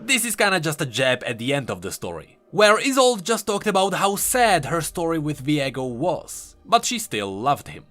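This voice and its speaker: A man's voice: fairly high pitch, big pitch swings, normal speed, hardly any pauses, a bit bright. A man doing an enthusiastic, conversational voice-over commentary as a video host. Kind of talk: game lore commentary voice-over.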